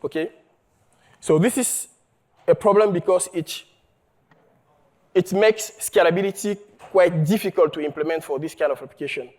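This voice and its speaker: A man from Cameroon, giving a conference talk on his research, halting often and checking in with the audience. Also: high-pitched, darkish tone. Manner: halting, many fillers and restarts, checks in with "okay?". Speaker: researcher presenting a paper. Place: conference hall.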